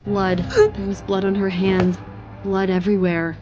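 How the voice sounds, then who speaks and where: A woman's voice: medium pitch, flat delivery, moderate pace, darkish tone, highs cut off, a little hiss or hum. A woman narrating a dramatic scripted story as an audio drama narrator.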